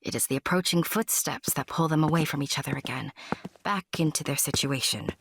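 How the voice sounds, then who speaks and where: Whispering, low-voiced female storyteller. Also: brisk, exaggerated voice. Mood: hushed, confiding. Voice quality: breathy, whispery. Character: intimate female storyteller addressing the listener. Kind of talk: whispered scripted drama narration.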